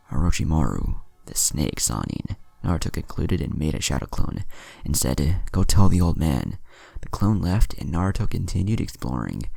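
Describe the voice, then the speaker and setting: A man reading a fan story aloud as a storyteller, with an even, unhurried delivery. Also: low voice, gravelly. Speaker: fan fiction reader. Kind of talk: storytelling narration. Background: soft background music.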